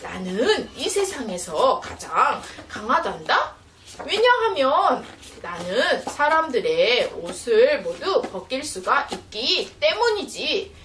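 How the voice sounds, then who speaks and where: A woman voicing a boastful Wind from a children's fable in a slow, exaggerated storytelling delivery. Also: a little high, big pitch swings. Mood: boastful, taunting. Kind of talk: children's storybook read-aloud. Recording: roomy, distant mic, faint noise.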